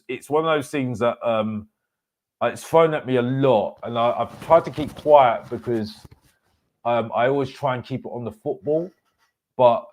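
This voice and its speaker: A man with a mid-pitched voice talks as the host of a football fan stream. His delivery is casual but emphatic, with repeated phrases for weight. He often breaks his sentences into short, halting pieces. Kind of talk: live fan commentary, casual opinion rant.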